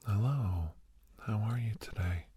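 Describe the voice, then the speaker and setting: A man playing a gentle, reassuring doctor in a soft-spoken ASMR roleplay, with a husky, breathy voice and halting, hesitant delivery. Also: lowish voice, lightly acted. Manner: soothing, hesitant, halting pauses. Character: gentle, reassuring male doctor counseling a nervous young werewolf.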